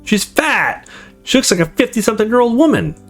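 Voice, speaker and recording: Whiny, crude young male game character voiced by a streamer, disappointed, swooping pitch over soft music. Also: big pitch swings, brisk. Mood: outraged.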